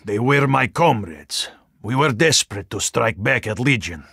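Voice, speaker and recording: A hulking, ice-cold Russian super-soldier for a video game. His voice has a gravelly edge and swings widely in pitch. He speaks in a formal, earnest, stoic way with a Russian accent, recorded very dry and close. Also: animated, pushed and bright, no echo, full character voice. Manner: formal, deliberate, literal-minded phrasing with dropped articles. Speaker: game character voice actor.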